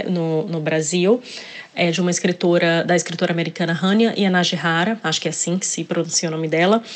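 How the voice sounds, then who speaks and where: A woman from Ceará with a Northeastern Brazilian accent, a guest chatting casually in an interview, hesitating often and restarting her words. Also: fairly low pitch. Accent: Northeastern Brazilian Portuguese. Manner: hesitant, many fillers and restarts. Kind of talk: casual interview, reading passages aloud.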